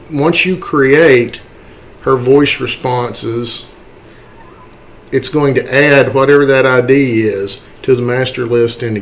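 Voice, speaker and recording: A man giving an unscripted software tutorial, halting and step-by-step, often checking in with a questioning okay. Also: medium pitch, gravelly, a little hiss or hum. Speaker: tutorial host.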